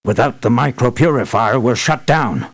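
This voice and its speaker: An actor playing an anxious older man, an island boss and devoted father, in an earnest, measured video game performance. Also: mid-range voice, close to an everyday voice. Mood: concerned, serious. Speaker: employer briefing hired mercenaries. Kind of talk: scripted video game voice acting.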